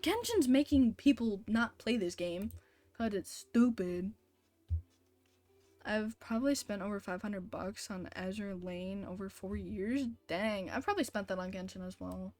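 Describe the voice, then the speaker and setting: A woman live-streaming a video game, commenting casually and excitably with mild swearing. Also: mid-range voice, a little slow, faint music behind.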